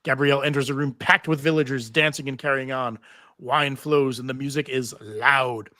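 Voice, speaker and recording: A man's voice: medium pitch, fairly even intonation, normal speed, pushed and bright, no room sound, studio quality. A man reading TV script stage directions aloud at a live table read, theatrical with wry asides. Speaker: table read narrator and panel host. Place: live convention panel.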